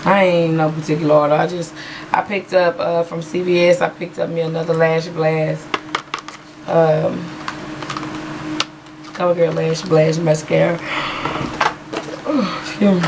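A woman talks at a webcam in a small room at home, her voice hoarse and low. She rambles through a beauty haul with plenty of fillers. The recording has a clear hiss of background noise. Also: level tone, measured pace, pauses often. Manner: chatty, rambling with many fillers. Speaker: beauty vlogger. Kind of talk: casual haul video chat. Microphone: webcam in front of her.

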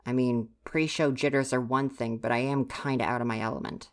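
A woman's voice: very deep voice, steady tone, fairly quick. A woman playing a grumpy, easily flustered university-age stagehand in an ASMR roleplay, huffy and exasperated, talking straight to the listener. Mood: defensive, opening up. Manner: huffy, exasperated, sighing scolding.

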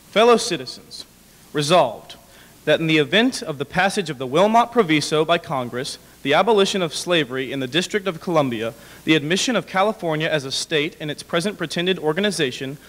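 Young man, raised pitch for a male, oratorical formal reading, podium mic, long reverb. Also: fairly bright tone. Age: young adult. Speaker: dramatic reader. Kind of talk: formal read-aloud of historical documents. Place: large brick-walled hall with stage, indoors. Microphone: podium microphone. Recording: echoey hall with long reverb.

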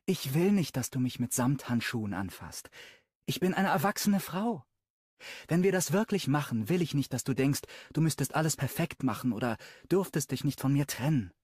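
A man's voice: mid-range voice, animated, moderate pace, a little mellow, husky, no room sound, studio quality, pitched above the performer's own voice. A man voicing a vulnerable, proud young woman who pleads emotionally in an audiobook. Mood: earnest.

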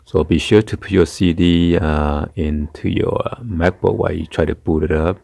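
A man giving a casual hands-on tech tutorial in a halting, hesitant way. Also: sits low, fairly even intonation, vocal fry, a little mellow, dry recording. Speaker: tutorial host. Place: carpeted room indoors.

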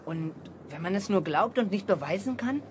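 A man's voice: high-pitched, animated, moderate pace, slight background noise. An actor in a 1970s German children's radio play playing an eager, watchful boy detective, earnest and secretive.

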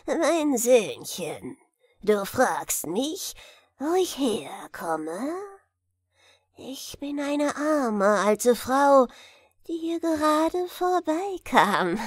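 A woman voicing a gaunt old witch from a storybook, hoarse and cackling, wheedling with false motherly kindness. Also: fairly high pitch, dry recording, studio quality, full character voice. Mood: wheedling, sly. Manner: drawn-out, wheedling, mock-kindly. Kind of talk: storybook character voice.

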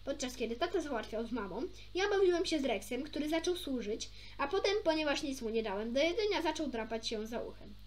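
A young woman with a high voice reads a children's story aloud. Her intonation moves a lot and her delivery is informal. She stumbles a little and slips into chatty asides. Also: lively intonation, brisk, few pauses. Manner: informal, stumbles over words and self-corrects. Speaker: amateur storybook reader.

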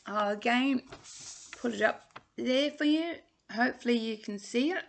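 A woman's voice: fairly high pitch, moderate pace, creaky. A woman in her sixties with an Australian accent carrying a trace of English, teaching singing from a small room at home and carefully enunciating vowel words into a laptop webcam. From England, raised in Australia. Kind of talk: home video singing lesson.

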